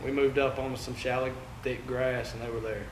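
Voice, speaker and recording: A man from East Texas with an East Texas accent, a guest angler talking casually in a laid-back, halting way full of fillers. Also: mid-range voice, level tone. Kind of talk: casual chat. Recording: echoey room with loud ambient noise.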